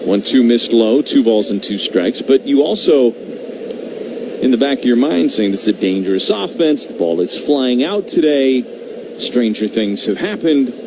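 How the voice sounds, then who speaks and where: A man doing live baseball play-by-play on radio, talking in measured short phrases with conversational asides. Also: medium pitch, lively intonation. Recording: clearly noisy live radio broadcast.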